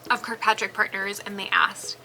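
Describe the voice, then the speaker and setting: A woman's voice: medium pitch, level tone, moderate pace, sharp tone, slight background noise, poor audio. A woman in her thirties whose first language is Spanish, talking about her own life in a casual vlog, chatty, with lots of "um" and "like". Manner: chatty, with frequent ums and likes. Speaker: business owner. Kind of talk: casual personal vlog talk.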